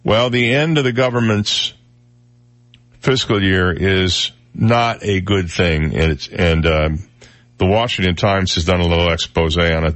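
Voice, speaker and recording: A man's voice: deep voice, fairly bright tone, no echo. A man hosting a casual morning radio talk show, wry and conversational with lots of filler words, sounding a little stuffed up in the nose. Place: radio studio. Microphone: studio microphone.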